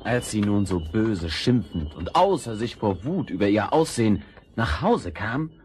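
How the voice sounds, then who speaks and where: A man's voice: fairly low pitch, very animated, unhurried, darkish tone, a little hiss or hum. A man narrating fairy tales for children as a scripted storyteller.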